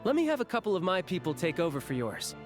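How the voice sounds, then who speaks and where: A man's voice: high-pitched, wildly swooping pitch, fast. A man voicing an easygoing young warrior turned diplomat in an anime game, breezy, sincere and reassuring. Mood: caring, light. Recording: music behind the voice.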